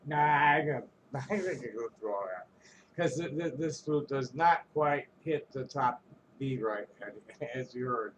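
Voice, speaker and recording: A man of ordinary middle pitch vents straight to camera in a loose, rambling rant. He curses freely, trips over restarts and breaks into little chuckles, with a faint hum of noise behind him. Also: animated, unhurried, poor audio. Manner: rambling, profane, many restarts and stumbles; chuckles between phrases. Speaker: vlogger.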